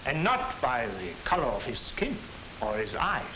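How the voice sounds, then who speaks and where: An actor playing a dignified, defiant German professor who lectures in a deliberate, didactic way in a 1940s film. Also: male voice, medium pitch, huge pitch swings. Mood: firm, defiant. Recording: echoey, hissy 1940s film soundtrack.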